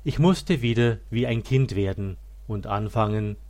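Male narrator for a religious biography, lower-pitched voice, solemn and measured scripted read. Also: a little mellow.

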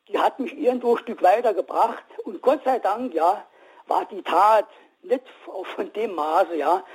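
A man in his sixties calling in to a radio show, telling his story haltingly with many restarts. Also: sits high, a little mellow, husky, low-quality recording. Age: 60s. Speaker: caller. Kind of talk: call-in radio testimony.

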